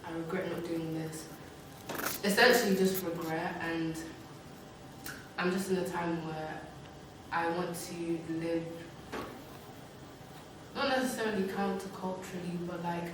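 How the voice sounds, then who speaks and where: A woman's voice: lowish voice, unhurried, pauses often. A woman in her late teens giving casual interview answers, emphatic, often saying "like" and "literally". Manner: animated, emphatic, heavy use of "like" and "literally". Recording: echoey room, mic far off, faint hiss.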